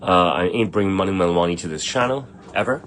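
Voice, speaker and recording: Man, middle-aged, low voice, blunt, brash, swears a lot, casual Q&A, faint background hum. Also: lively intonation, quick pace, a bit bright. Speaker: tailor answering viewer questions. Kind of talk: casual livestream Q&A.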